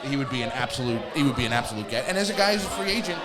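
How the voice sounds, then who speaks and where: A man co-hosting a live sports talk show at a bar, giving unscripted analysis in a halting way, restating phrases as he goes. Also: medium pitch, animated, over a noisy crowd. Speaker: fill-in co-host. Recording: music behind, live bar. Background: music.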